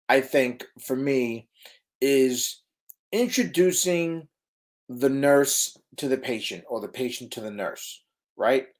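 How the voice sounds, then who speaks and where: A man's voice: medium pitch, a little mellow. A man teaching emergency medical crews in a casual live stream, chatty, with lots of fillers and "right?" tag questions. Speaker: EMS educator.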